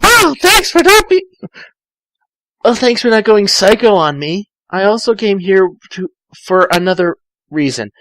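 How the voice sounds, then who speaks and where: Man doing an anxious, high-pitched young cartoon fox. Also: big pitch swings, measured pace, a bit bright, dry recording, full character voice. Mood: sheepish.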